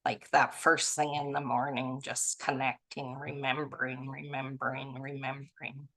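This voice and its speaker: Slow, low-voiced woman leading a study group. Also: flat delivery. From Canada. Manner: gentle, musing, softly laughing at herself. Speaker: spiritual study group leader. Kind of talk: reading aloud and reflecting.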